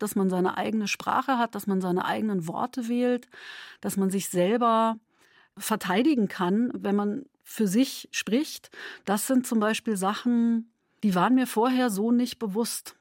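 Mid-pitched woman, dry close sound. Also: a little mellow, dry recording. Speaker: radio drama maker. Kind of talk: reflective interview talk.

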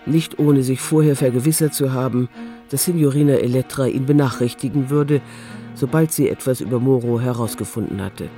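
A man narrating a scripted audio drama in a measured, sober manner. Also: medium pitch, warm tone. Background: faint music.

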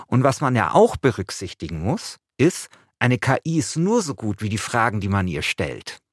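A man's voice: mid-range voice, huge pitch swings, moderate pace, breathy edge, dry recording, studio quality. A middle-aged man hosting a podcast as a journalist, speaking colloquially in a mix of casual chat and first-person narration.

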